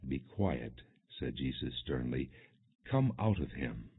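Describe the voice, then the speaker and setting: A man reading scripture as an audiobook narrator, in a solemn, measured storytelling delivery with expressive quoted speech. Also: low-pitched, breathy edge. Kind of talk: solemn scripted Bible reading, non-dramatized. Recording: muffled, phone-like narrow band.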